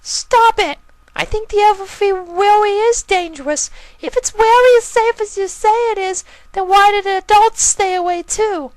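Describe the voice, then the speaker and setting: Squeaky, excitable little filly pony. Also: normal speed, low-quality recording. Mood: indignant, squeaky. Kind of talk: dramatised story reading, cartoon pony.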